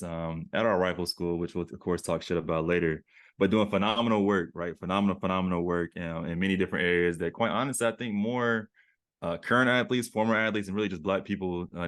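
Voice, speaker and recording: Fast-talking deep-voiced man hosting. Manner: hype, slangy, animated. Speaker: podcast host. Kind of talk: casual interview.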